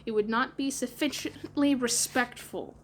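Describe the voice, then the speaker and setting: Woman voicing a bossy, loud boy gang leader. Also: on the high side, normal speed, slight background noise, natural voice. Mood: commanding. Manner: commanding, declarative. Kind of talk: storybook read-aloud character voice.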